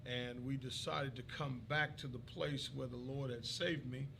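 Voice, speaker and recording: A man from Trinidad and Tobago, a pastor, talking as a guest in a polite, hesitant way with many pauses and fillers. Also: medium pitch, steady tone, creaky, background noise. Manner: polite, keeps saying yes sir, hesitant with many uhs. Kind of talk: interview guest.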